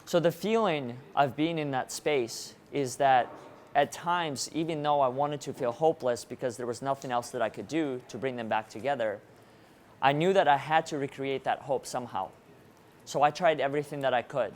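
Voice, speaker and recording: A man born in Russia speaks to a live audience in an engaging motivational style, often turning to the crowd with questions. His voice sits at a middle pitch. A faint hiss of room noise runs under it. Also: moderate pace. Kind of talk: interactive presentation to a live audience.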